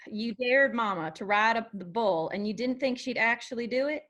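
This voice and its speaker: A woman's voice: on the high side, moderate pace, a bit bright, lightly acted. A woman playing a wry, wistful young bar waitress in a screenplay table read, dry and folksy. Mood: wry, bossy.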